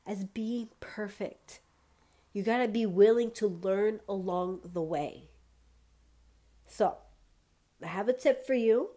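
A woman hosts her solo podcast at an unhurried, deliberate pace. Her voice is a little congested and wheezy, and she encourages listeners directly in a warm-hearted motivational tone. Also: fairly high pitch. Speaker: podcast host.

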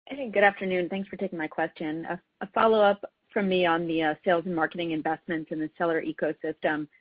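A woman's voice: on the low side, steady tone, fast, dry recording. A woman, a financial analyst, asking a detailed question on an earnings call in a businesslike, conversational way. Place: phone call. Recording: dry, tinny, narrow phone line.

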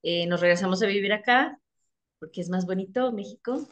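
A woman's voice: medium pitch, fairly quick, fairly bright tone. A middle-aged woman from Texas, chatting casually and playfully in brief answers. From United States, Texas. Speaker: podcast guest, English teacher. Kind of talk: casual chat.

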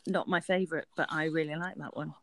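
A woman's voice: low-pitched, steady tone, quick pace, fairly bright tone. A woman co-hosting a workplace podcast, talking earnestly and often restarting her sentences. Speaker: podcast co-host. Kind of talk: casual workplace podcast chat.

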